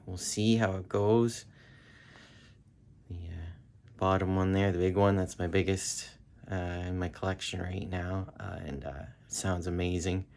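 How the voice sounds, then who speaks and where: A man in his thirties talking casually and haltingly, thinking aloud as he presents a home repair vlog on a handheld phone. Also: deep voice, steady tone, vocal fry, a little mellow. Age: 30s. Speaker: hobbyist repairer showing his work. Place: carpeted room at home. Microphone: handheld phone, at arm's length.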